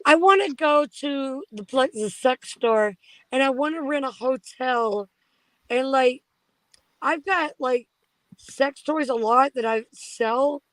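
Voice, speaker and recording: A woman with a high voice talks crudely and gets excited easily. Her voice is recorded dry, with no room sound. The talk is loose, casual panel chat. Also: slow, no echo, low-quality recording.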